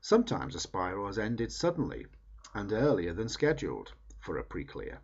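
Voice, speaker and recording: Low, husky man reading a book aloud. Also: huge pitch swings, normal speed, muffled recording. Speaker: reader.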